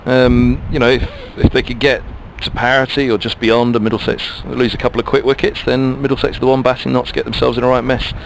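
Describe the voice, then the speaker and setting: A man's voice: mid-range voice, flat delivery, fast talker, vocal fry, few pauses, background noise. A man giving ball-by-ball radio cricket commentary, musing chattily between deliveries.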